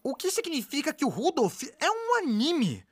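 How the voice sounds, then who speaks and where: A woman's voice: high voice, wildly swooping pitch, moderate pace, no room sound. A woman with a Brazilian accent reading a scripted comedic explainer, with playful, sarcastic asides. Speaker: animated explainer video narrator. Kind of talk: scripted comedic narration, dubbed.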